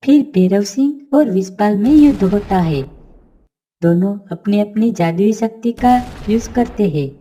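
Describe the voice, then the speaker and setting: A woman's voice: medium pitch, unhurried, warm tone, husky. A woman narrating a scripted children's bedtime fairy tale. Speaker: story narrator.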